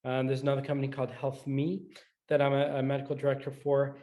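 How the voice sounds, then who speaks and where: A middle-aged man originally from Scotland speaks as an interview guest. His pitch is mid-range and stays quite flat, and he talks in a measured, conversational way, pausing often as he forms his answers. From Scotland, moved to the US in high school. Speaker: guest, orthopedic surgeon.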